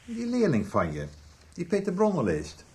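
A man's voice: sits high, wildly swooping pitch, moderate pace, mellow. A man playing an earnest, reproachful brother in a Dutch radio soap. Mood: earnest, confronting. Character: earnest, reproachful brother confronting his stubborn sibling. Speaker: radio drama actor.

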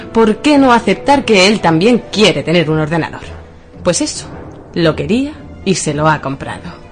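A woman narrator reading a scripted comic story in a wry, deliberate storytelling manner, with music behind her. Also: on the low side, darkish tone.

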